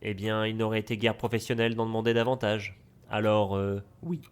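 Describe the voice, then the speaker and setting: A man's voice: fairly low pitch, little pitch movement, a little fast, clear, resonant tone, close to an everyday voice. Man acting a formal, hesitant notary. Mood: hesitant, uneasy. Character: polite, evasive, flustered male notary. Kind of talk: French audio drama acting.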